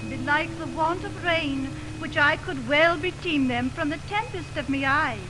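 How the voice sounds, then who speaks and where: A woman's voice: sits high, measured pace. An actress playing an earnest, devoted young Athenian woman in love, declaiming verse in a 1920s radio Shakespeare production. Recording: noisy 1929 radio aircheck on film.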